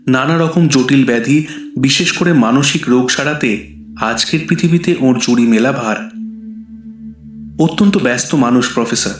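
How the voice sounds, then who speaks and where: A man's voice: medium pitch, natural voice. A man playing a sober, resolute doctor, confiding in a friend through a letter in an audio horror drama. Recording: roomy reverb, faint music behind.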